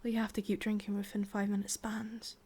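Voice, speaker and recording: A woman with an English accent playing a lovelorn, obsessive immortal witch in a breathy, intimate whispered ASMR roleplay. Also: medium pitch, monotone, close to an everyday voice. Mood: gently coaxing. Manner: slow, hushed, intimate delivery with pauses.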